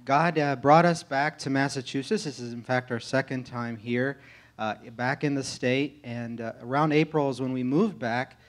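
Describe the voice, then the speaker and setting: An American man speaking as a pastor candidate in an informal testimony to a congregation, earnest and halting in his delivery. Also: medium pitch, steady tone. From United States, grew up in Tennessee. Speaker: pastor candidate being interviewed in church.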